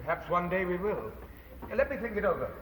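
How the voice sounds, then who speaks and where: A weary, fastidious professor with an English accent answers in dry, clipped, polite lines. His voice sits fairly high for a man. It is a live soundboard recording, so he sounds distant, with a long theater-hall reverb and a faint hiss. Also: big pitch swings, crowd noise. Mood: dry, evasive. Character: weary fastidious European professor, ill and reserved. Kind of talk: stage musical dialogue.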